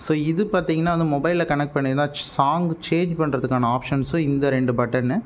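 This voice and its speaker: A man's voice: a little high, brisk. A man with a Tamil Nadu accent explains things casually in an unboxing vlog, dropping English words into his speech. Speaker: product reviewer. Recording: noticeable steady background noise.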